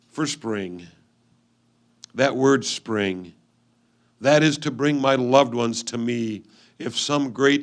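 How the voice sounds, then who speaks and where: Male actor as 1850s homesteader husband, medium pitch, earnest and measured, stage letter reading. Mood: wistful, anxious. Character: lonely 1850s frontier husband writing home to his wife. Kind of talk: reader's theatre letter reading.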